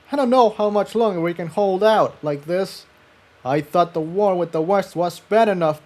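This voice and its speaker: A man's voice: high-pitched, darkish tone, voice pushed up. A man voicing a panicked armored pony soldier making an urgent, desperate plea in a story reading.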